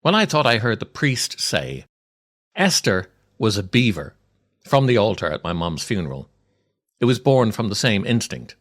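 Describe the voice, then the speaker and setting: A man, reading a scripted comic monologue in a wry, theatrical way with deliberate pauses. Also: fairly low pitch, big pitch swings, fairly bright tone. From Ireland. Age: 60s. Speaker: podcast host and storyteller.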